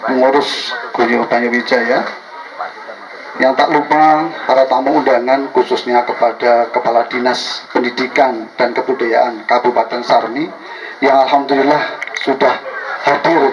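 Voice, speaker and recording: A man opening a formal speech with Arabic prayers, then greeting guests hesitantly with many fillers. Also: medium pitch. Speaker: speaker at a community gathering. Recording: dull noisy recording.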